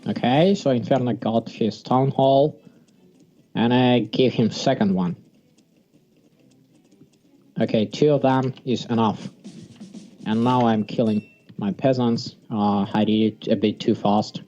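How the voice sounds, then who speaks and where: A mellow, relaxed male voice, commentating casually on a strategy game. He speaks haltingly, at an ordinary middle pitch. The recording is a bit dull and lo-fi, with music playing behind him. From Sweden.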